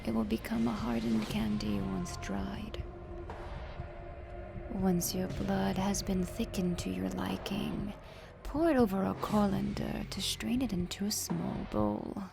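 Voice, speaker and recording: Woman as macabre vampire countess hosting ASMR cookery: genteel, very unhurried whisper, music underneath. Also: medium pitch, animated. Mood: hushed, instructive. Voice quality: breathy whisper with creaky fry. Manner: slow, deliberate, genteel. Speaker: host. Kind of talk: ASMR recipe narration.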